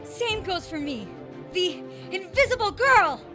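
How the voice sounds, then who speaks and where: A woman's voice: very high voice, animated, a little slow, music in the background. A woman playing a devoted young heroine in a comic book audio drama, exclaiming melodramatically. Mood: determined.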